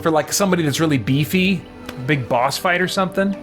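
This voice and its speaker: A man's voice: a little high, brisk. A man doing casual live gameplay commentary as a streamer, thinking aloud and reading things out. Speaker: game streamer. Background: faint game music.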